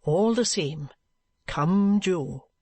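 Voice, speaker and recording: A woman playing a gentle, patient young man. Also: lowish voice, lively intonation, drawn-out words, breathy edge, dry recording, heavily acted. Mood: urging. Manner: gentle coaxing tone.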